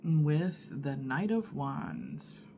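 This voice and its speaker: A woman reading tarot in an unhurried, musing way. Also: deep voice, expressive, dark tone, a little hiss or hum. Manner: unhurried, musing, many fillers and pauses. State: husky morning voice from allergies. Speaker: tarot reader. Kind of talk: casual tarot reading to camera.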